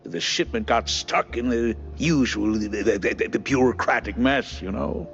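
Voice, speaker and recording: A man voicing a nervous, bumbling, evasive schemer in a cartoon game, stammering and halting. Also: medium pitch, vocal fry, a bit bright, dull audio, soft background music.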